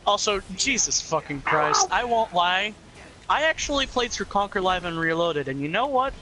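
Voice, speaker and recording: A young man chatting excitably and swearing freely while co-commentating a game stream, breaking into silly voices. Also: high voice, moderate pace, noisy background. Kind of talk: casual gaming chat.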